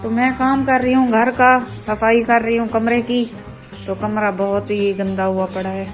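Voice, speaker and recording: A woman with a hoarse, sore throat, chatting casually to her viewers while doing housework. She is from Pakistan and talks in a friendly way, sending blessings to her subscribers. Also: on the high side, level tone, moderate pace, reverberant, faint music behind. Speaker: vlogger and mother. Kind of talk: casual home vlog chat. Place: inside a home.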